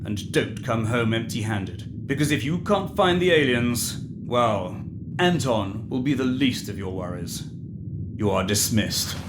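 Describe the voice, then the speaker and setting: A man's voice: medium pitch, expressive, unhurried, close to an everyday voice. A man acts an imperious official in a sci-fi audio drama. He gives orders with cold menace and arrogance, over noticeable background noise. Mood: menacing, dismissive. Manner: cold, commanding.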